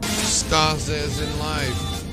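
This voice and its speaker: A man's voice: on the high side, animated, very slow, poor audio. A man streaming a game, giving casual live commentary and chatting to viewers. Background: loud music and game sound.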